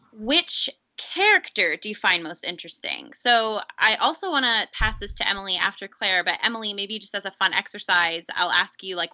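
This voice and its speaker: A woman's voice: mid-range voice, pushed and bright, no echo. A woman moderating a casual discussion, putting questions to the others. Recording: dry, slightly dull lo-fi audio.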